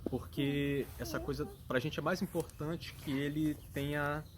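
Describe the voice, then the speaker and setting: A man's voice: fairly high pitch, fairly even intonation, measured pace, a crowd in the background. A man with a Brazilian Portuguese accent, a father talking earnestly and thoughtfully, searching for his words as he explains. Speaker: father and vlogger. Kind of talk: casual explanatory talk.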